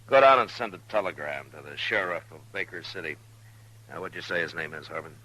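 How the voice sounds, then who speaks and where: A stern frontier marshal in a 1950s radio western. The voice is very deep and cuts through with great force. He speaks plainly and with firm authority. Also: fairly quick, bright, forceful tone, rough recording. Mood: firm suspicion.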